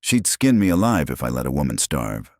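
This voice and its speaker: A calm, protective marshal drawls slowly with a gravelly edge, as a man plays him. The voice sits very low, and the recording is tight and dry. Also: no echo. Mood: steady. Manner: slow drawl, rough as gravel. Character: weathered male marshal, calm, wry and protective.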